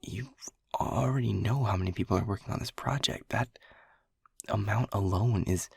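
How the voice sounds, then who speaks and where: A man voices an anxious young lab technician in an audio roleplay, stammering through hushed, breathy whispers. His voice is gravelly and very husky, recorded close and dry with no room sound. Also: lowish voice, moderate pace, no room sound, heavily acted. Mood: urgent whisper.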